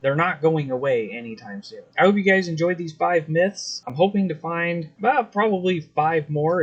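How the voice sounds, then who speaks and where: An American man hosts a hobby video in a casual, explanatory way. His voice sits at an average pitch and he talks straight to his viewers. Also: slightly rough. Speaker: video host, CB radio hobbyist.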